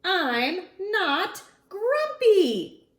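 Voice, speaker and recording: A woman's voice: extremely high pitch, huge pitch swings, very slow, reverberant, voice pushed up, heavily acted. A woman voicing a grumpy, defensive male storybook monkey who protests emphatically. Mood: shouting. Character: grumpy, defensive young male monkey insisting he's fine.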